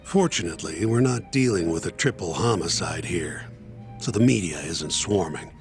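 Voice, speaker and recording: A brisk, unsentimental defense lawyer in a video game dub. His male voice is gravelly and lively in its swings, and he talks bluntly and matter-of-factly over background music. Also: sits low. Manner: blunt, colloquial, matter-of-fact. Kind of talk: English game dub dialogue.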